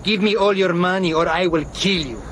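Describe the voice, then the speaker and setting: A high-pitched, scornful bandit. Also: male voice, fairly quick, a little hiss or hum, poor audio. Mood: menacing. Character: gruff Mexican bandit, menacing and scornful. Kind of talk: radio drama acting. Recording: loud music under radio drama.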